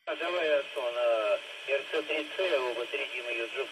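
A man's voice: medium pitch, normal speed. Man rambling over noisy radio. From Russia. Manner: rambling, hesitant, filler-heavy. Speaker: amateur radio operator. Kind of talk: casual radio chat. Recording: noisy two-way FM radio, loud static.

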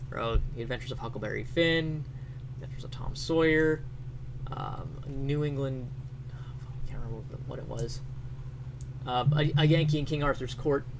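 A man's voice: medium pitch, normal speed, vocal fry, pauses often, noisy background. A man in his thirties giving casual, off-the-cuff commentary, often searching for his words. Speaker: streamer presenting a letter. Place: small home bedroom with bookshelves. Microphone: close boom-arm microphone.

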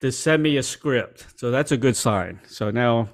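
A man's voice: mid-range voice, lively intonation. A middle-aged man from Washington, D.C., hosting an interview show over a video call, affable and chatty. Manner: affable, quick backchannels. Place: home studio with posters. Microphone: studio mic with headphones.

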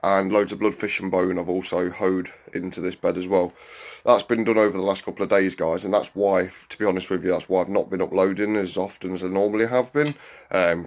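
A man's voice: deep voice, no room sound. A man chatting casually to his viewers while walking around his allotment and greenhouse, with lots of "um"s and asides. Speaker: allotment vlogger. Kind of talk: casual walk-around vlog. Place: outdoors on an allotment and inside a greenhouse. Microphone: handheld phone camera, filming while walking.

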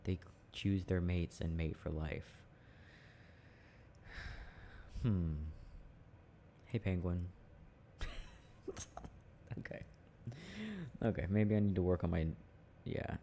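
A young man speaking in a playful, affectionate, halting murmur, like an intimate, casual ASMR boyfriend roleplay, with a deep gravelly voice. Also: fairly even intonation, warm tone. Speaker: boyfriend roleplay audio performer. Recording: faint background noise.

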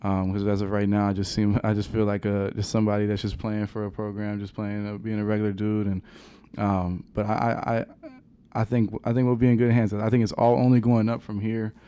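A young man, a college basketball player, answering questions at a post-game press conference in a halting, soft-spoken way with many fillers, into a table microphone. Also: lowish voice, completely flat delivery, smooth. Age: young adult. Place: press conference room with sponsor backdrop.

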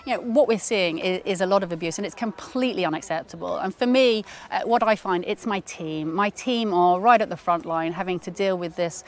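A woman's voice: lowish voice, brisk, hardly any pauses. A middle-aged woman politician answering interview questions outdoors at a show, earnest and full of fillers and restarts. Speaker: member of parliament.

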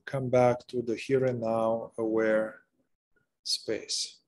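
A man leading a guided meditation, speaking gently in short phrases with long pauses between them. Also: mid-range voice, little pitch movement. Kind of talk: slow guided meditation narration.

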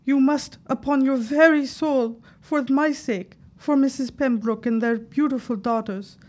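An actress playing a frightened, devoted wife in a period audio drama, earnest and imploring in formal speech. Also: female voice, high-pitched, unhurried, a little mellow. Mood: desperate pleading.

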